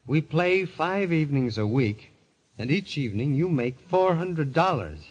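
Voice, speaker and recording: An actor playing a bitter, haunted young gambler turned murderer, grave and intense, in old-time radio drama style. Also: male voice, fairly high pitch, sing-song, measured pace. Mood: coldly accusing. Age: young adult. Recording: muffled vintage radio recording, music behind.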